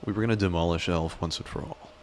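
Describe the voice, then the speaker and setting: A man chats on a live stream, wandering from joke to joke and teasing himself along the way. His voice sits very low. A faint hiss runs under the recording. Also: fast. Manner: rambling, joking, self-deprecating. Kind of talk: casual live-stream chat.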